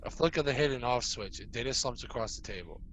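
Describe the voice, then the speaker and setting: A medium-pitched male narrator reads stage directions from a drama script. There is faint ambient noise behind his voice. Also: lively intonation, fast talker, a bit bright, poor audio. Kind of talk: scripted narration in an amateur table read.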